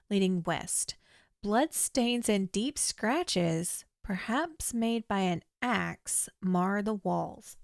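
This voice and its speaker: Chatty young woman, slightly hoarse. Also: medium pitch, no room sound, clean studio sound. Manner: chatty, thinks aloud, reads descriptions in a measured storytelling way. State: slightly hoarse, losing her voice. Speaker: streamer playing a text adventure. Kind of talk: casual game commentary, reading game text aloud.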